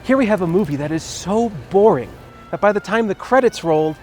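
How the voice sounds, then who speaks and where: A man's voice: sits high, lively intonation, fairly quick. A man hosting a comedic movie review, reading scripted narration in a sarcastic manner. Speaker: host of a movie review show. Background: background music.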